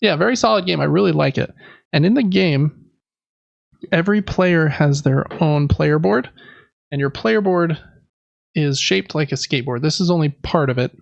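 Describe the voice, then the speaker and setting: A man with a fairly high voice and a gravelly fry hosts a casual games podcast. He talks in a chatty, explanatory way. The recording is dry and a little dull. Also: normal speed, no room sound. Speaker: podcast host. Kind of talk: casual board game podcast chat.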